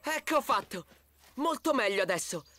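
A woman voicing a young male tiger cub, confident and friendly, in an expressive children's cartoon style. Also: a little high, moderate pace, over loud music, lightly acted. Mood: confident, helpful.

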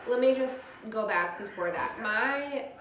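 A woman's voice: a little high, animated, a little slow, reverberant, a crowd in the background. Stuffy-voiced woman vlogging at home. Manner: talks a little loud, candid and open. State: stuffy from a cold. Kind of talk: personal vlog talk. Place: dining room at home. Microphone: camera across the room.

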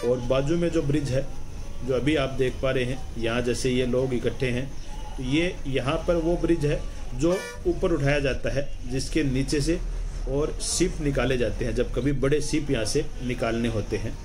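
A man's voice: mid-range voice, moderate pace, a little mellow, a little hiss or hum, poor audio. A man from Rajasthan narrating a travel vlog voice-over, enthusiastic and explaining things at length with many repeats. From Rajasthan, India. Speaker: travel vlogger.

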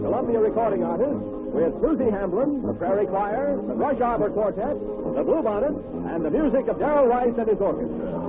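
American guy doing old radio show intro, very lively, tinny sound, loud music. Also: high-pitched. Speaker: radio announcer.

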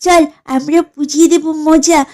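Woman voicing a cheeky high-voiced cartoon kid. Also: steady tone, normal speed, mellow. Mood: scheming. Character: cheeky, mischievous neighborhood child playing ball. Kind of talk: exaggerated comedy cartoon acting.

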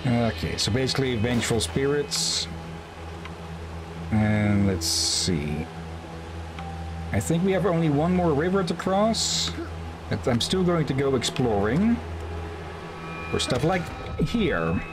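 A man's voice: mid-range voice, slow pace, long pauses, background noise. A man streaming a game, chatting casually and chuckling as he tells stories. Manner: relaxed, chuckling storyteller. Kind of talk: casual gameplay commentary. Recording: game music behind.

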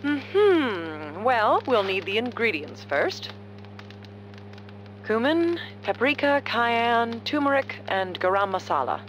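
Calm worldly woman in a game, thoughtful, varied pitch, bit of hum. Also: takes it slowly. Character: composed, worldly, gently reserved adult woman. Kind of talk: video game voice acting.

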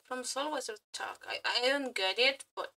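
A woman streamer giving casual live reactions, excitable, scattered and exclamatory, with some swearing. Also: a little high, normal speed, gravelly. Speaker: streamer reacting to game trailers. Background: background music.

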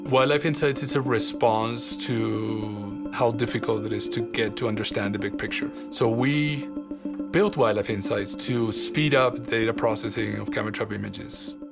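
Slow, gravelly middle-aged man. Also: fairly low pitch, loud music behind the voice. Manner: slow, measured speech, switching to casual Spanish with a colleague. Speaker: conservation biologist. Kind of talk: documentary interview.

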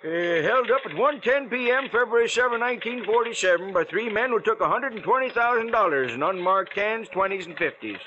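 A folksy older small-town newspaperman in a vintage radio play. He has a high, sharp voice and lays out facts precisely. The recording is dull, with a faint layer of noise. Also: animated, a little fast, hardly any pauses. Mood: folksy, matter-of-fact. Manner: folksy, exact, fact-reciting. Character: sad-faced, folksy small-town newspaper linotype operator. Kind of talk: 1940s radio acting.

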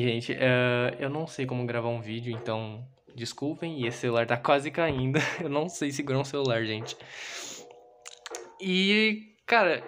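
A young man with a Brazilian Portuguese accent talks loosely and cheerfully into a phone he holds himself, laughing often and poking fun at himself. His voice sits at an ordinary middle pitch for a man. Faint music plays under the phone recording in his small bedroom. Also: a bit bright. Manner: chatty, self-deprecating, laughs often. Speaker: youtuber. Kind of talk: casual room tour vlog. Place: small bedroom with red LED light. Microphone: phone camera held in hand.

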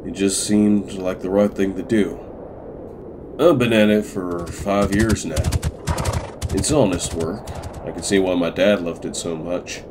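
A man playing a weary older widowed farmer, measured and plain-spoken, in a comic dub. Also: low-pitched, steady tone, background noise. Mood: wistful. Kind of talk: amateur comic dub acting.

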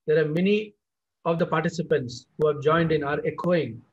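A man's voice: a little high, moderate pace, breathy edge. Upbeat man hosting a webinar. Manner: upbeat announcing with fillers. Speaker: event host. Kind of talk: webinar moderating. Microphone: video call. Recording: dull video call audio.

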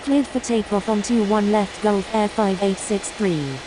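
A woman's voice: medium pitch, steady tone, measured pace, close to an everyday voice. A woman playing a matter-of-fact airport radio voice in a simulation game, speaking clipped, formulaic aviation phrases. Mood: businesslike. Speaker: air traffic control and pilot radio voice. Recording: hissy, clearly noisy radio-style audio.

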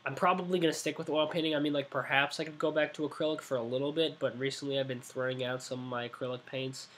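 A man's voice: mid-range voice, level tone, fast talker, a bit bright, slight background noise. A nineteen-year-old man chats loosely into a desk webcam in a small indoor room. He rambles, restarts his sentences and leans on fillers as he talks. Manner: rambling, self-correcting, many fillers. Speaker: art vlogger. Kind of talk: casual talk to webcam.

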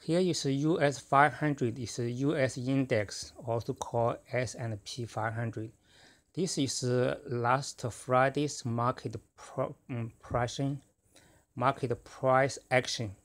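A middle-aged man whose first language is Chinese, talking casually and haltingly about his trading, with many fillers and restarts. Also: medium pitch, fairly even intonation. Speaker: forex trader. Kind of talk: casual screen-recorded trading talk.

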